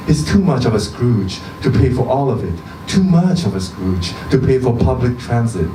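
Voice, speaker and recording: A man giving a measured, deliberate live reading of a short story, with pauses between phrases. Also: lowish voice. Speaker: reader at a literary event. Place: indoor social venue, live event. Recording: distant mic, echoey venue, background hum.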